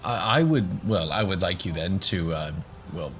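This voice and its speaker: A man's voice: low-pitched, expressive, moderate pace, a bit bright, a little hiss or hum. A man voicing a flustered, eager professor who rambles and fumbles politely in a radio-play tabletop game. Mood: flustered, hopeful.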